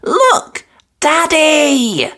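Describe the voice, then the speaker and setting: A woman voicing an excitable little girl piglet in a storybook read-aloud. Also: a little high, drawn-out words, pitched above the performer's own voice, full character voice. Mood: excited. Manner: excited, bright exclamations.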